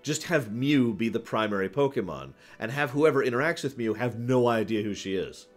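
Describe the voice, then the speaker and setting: A man's voice: medium pitch, lively intonation, normal speed, faint music behind. A man hosting a casual livestream about games, rambling and thinking aloud as he talks to his chat. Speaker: livestream host answering viewer questions.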